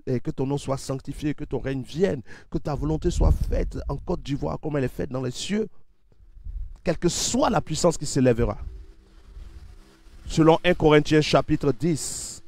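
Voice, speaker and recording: A man preaching fervently on a livestream in a steady repetitive cadence, with a head cold. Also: mid-range voice, a little slow, vocal fry, no echo. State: has a cold. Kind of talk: sermon with sung worship.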